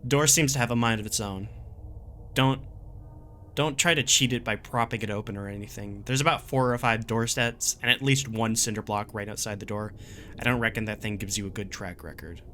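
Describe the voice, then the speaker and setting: A man playing a jaded, gruff, exasperated wanderer recording a survival warning, in a sardonic and emphatic dramatic horror reading. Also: on the low side, a little fast, a bit bright, a little hiss or hum, lightly acted. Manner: sardonic, emphatic, colloquial grumbling.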